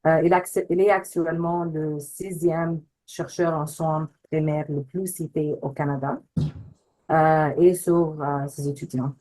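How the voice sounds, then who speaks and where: A woman, not a native speaker of the language, introducing a prize over a video call, halting and searching for words. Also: low-pitched, steady tone, warm tone, low-quality recording. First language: not French. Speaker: prize committee co-chair. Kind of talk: webinar introduction.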